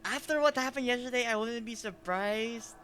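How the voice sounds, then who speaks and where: A man's voice: very high-pitched, moderate pace, fairly bright tone, slight background noise. A young man from the Philippines with a Filipino accent, streaming a game and chatting in a rambling, self-deprecating way. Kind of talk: casual game-stream chat.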